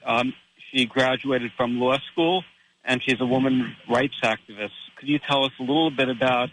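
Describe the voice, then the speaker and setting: An American man hosting a radio interview, speaking haltingly with many pauses. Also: medium pitch, a bit bright, slight background noise, low-quality recording. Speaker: radio host.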